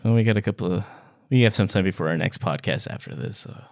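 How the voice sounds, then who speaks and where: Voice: low voice, fast talker, vocal fry, a little mellow, no echo, clean studio sound. A podcast co-host talking casually and playfully in an informal book discussion.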